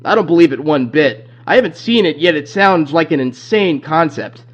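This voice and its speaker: A man's voice: a little high, lively intonation, normal speed. A man playing an awkward, chatty company phone caller briefing a night guard in a horror game, rambling hesitantly. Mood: chatty, dismissive. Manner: hesitant, rambling, full of ums. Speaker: phone guide character in fan horror game. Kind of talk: rambling phone-message monologue. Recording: muffled lo-fi phone-message audio.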